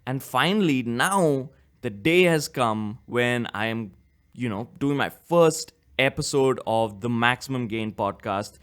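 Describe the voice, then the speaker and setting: A man's voice: medium pitch, animated, a little slow, fairly bright tone, clean tone. A man in his twenties with a slight cough hosts his own solo podcast, rambling casually and correcting himself. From India. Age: 20s. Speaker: podcast host.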